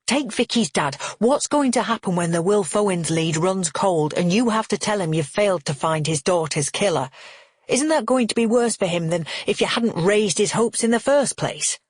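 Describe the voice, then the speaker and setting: A woman voicing an angry, exasperated man with a forceful, accusatory manner in an audiobook. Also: a little high, lively intonation, takes it slowly, few pauses, dry recording, clean studio sound, voice pushed up. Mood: angry, snapping. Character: angry, exasperated, snapping grown man, narrator's brother.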